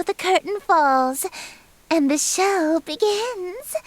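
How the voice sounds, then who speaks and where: Haughty, very high-voiced chaos goddess. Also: female voice, dry recording, heavily acted, rough recording. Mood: grandly theatrical. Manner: grandiose, imperious, melodramatic. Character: haughty theatrical eldritch goddess of chaos, female, easily flustered. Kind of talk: scripted visual novel game acting. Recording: music behind the voice.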